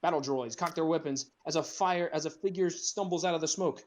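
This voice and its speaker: A man's voice: on the high side, brisk. A man narrating screenplay directions in a casual group read, dropping in amused asides.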